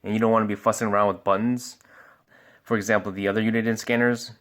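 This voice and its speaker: A man's voice: lowish voice, flat delivery, quick pace, a bit bright. A man giving a casual product review and walkthrough as a sales expert, explaining things informally step by step.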